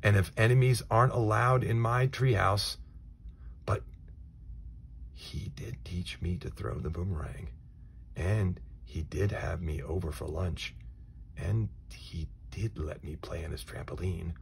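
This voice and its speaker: A man reading a children's storybook aloud in a dramatic, expressive way, moving between hushed asides and emphatic phrases. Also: low voice, measured pace, gravelly, a little hiss or hum. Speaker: storybook reader.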